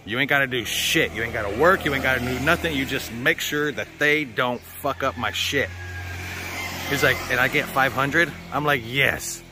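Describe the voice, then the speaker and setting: A man's voice: mid-range voice, normal speed, background noise. A man talking casually and ramblingly to his viewers as a vlogger, blunt, slangy and full of swearing. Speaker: vlogger hosting a channel.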